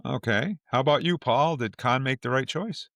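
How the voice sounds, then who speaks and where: A man's voice: medium pitch, moderate pace, fairly bright tone, no echo, studio quality. A man hosting a podcast, casually moderating a panel discussion and passing questions around. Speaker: podcast host.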